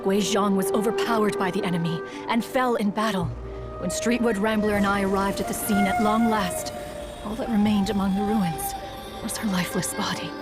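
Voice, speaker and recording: A woman's voice: mid-range voice, fairly even intonation, normal speed, close to an everyday voice. A woman playing a proud, wistful ancient female immortal in a game, speaking in formal, archaic, pompous phrases. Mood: mournful. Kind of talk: scripted game dialogue, storytelling. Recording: long hall reverb, music behind. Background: music.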